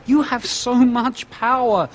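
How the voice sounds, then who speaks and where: A man's voice: extremely high pitch, steady tone, slow pace, a little hiss or hum. An actor playing an earnest young male mage apprentice in a video game, urgent and persuasive. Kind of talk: scripted video game dialogue.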